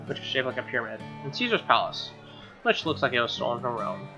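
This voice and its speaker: A man's voice: medium pitch, very animated, quick pace. A man reading a visual novel aloud as a let's play host, with wry, joking casual asides. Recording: dull lo-fi sound, music behind.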